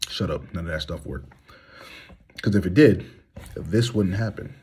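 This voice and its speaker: Man, 30s, very low husky voice, heated and blunt, phone selfie monologue from a small room. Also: unhurried, darkish tone. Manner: heated, blunt, rhetorical questions. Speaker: vlogger. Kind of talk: candid monologue to phone camera. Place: small room indoors, reclining. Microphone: phone at arm's length.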